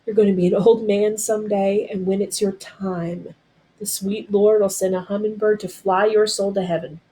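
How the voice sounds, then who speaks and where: A woman's voice: medium pitch, fairly even intonation, normal speed, warm tone, natural voice, rough recording. A woman voicing an elderly Southern woman, motherly and firm, speaking in folksy rural dialect for a storybook. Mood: soothing.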